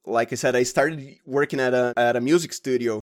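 A man with a Brazilian accent, a native Portuguese speaker, talking casually and haltingly as an interview guest. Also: medium pitch, steady tone. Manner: halting, informal, often says "like". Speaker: sound designer and podcast guest.

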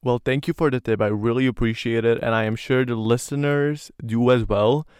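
A man's voice: medium pitch, fairly even intonation, normal speed, a little mellow, clear, resonant tone, dry recording, studio quality. A young man from Slovakia, a native Slovak speaker, hosting a casual podcast interview in an earnest manner full of "like" fillers. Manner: earnest, many "like" fillers, admiring. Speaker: podcast host.

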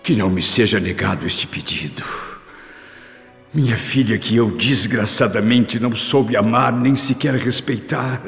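A man's voice: on the low side, gravelly. An actor playing an anguished, repentant middle-aged father with a Brazilian accent, in a solemn, pleading radio drama monologue. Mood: pleading, remorseful. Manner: slow, solemn, pleading delivery. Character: anguished repentant father, guilt-ridden former brute. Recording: long reverb with music behind. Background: music.

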